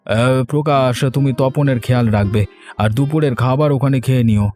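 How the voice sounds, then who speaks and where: A man's voice: mid-range voice, brisk, darkish tone, soft background music, natural voice. An actor playing a firm, reassuring older father who runs the family business in a cartoon story. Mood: brisk.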